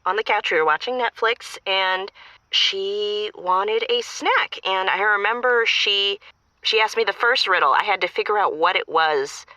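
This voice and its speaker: A woman playing an exasperated caller to an advice show, telling her relationship woes in a chatty, expressive way. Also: medium pitch, no echo, lightly acted, poor audio. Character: exasperated, animated girlfriend phoning for relationship advice.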